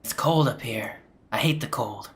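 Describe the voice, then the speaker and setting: A man's voice: mid-range voice, moderate pace. A man giving casual reaction commentary as he plays a video game. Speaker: game streamer.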